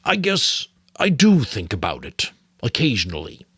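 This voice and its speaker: Man playing a weary old mentor, measured delivery. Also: lowish voice, huge pitch swings, fairly bright tone, husky, dry recording, clean studio sound, exaggerated voice. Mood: weary, bitter. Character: weary, wise old male mentor, burdened and gentle.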